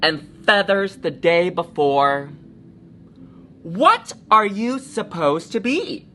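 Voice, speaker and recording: A woman's voice: on the low side, big pitch swings, slow, sharp tone, slight background noise, exaggerated voice. A woman voicing curious, blunt schoolchildren in a storybook, pert and exclamatory. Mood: blunt, challenging. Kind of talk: storybook character voice.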